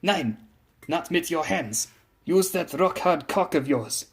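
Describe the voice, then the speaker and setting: A man's voice: on the high side, animated, slow pace, voice pushed up. A man voicing an arrogant, impatient female spirit of a German warship in a story, with a German accent. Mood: commanding. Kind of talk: dramatised story reading.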